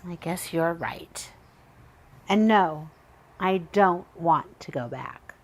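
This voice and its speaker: A vain, seductive spirit, played by a woman, pouts through a radio horror drama. Her voice sits slightly low for a woman, sulky and lamenting. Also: lively intonation, slow pace. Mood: resigned.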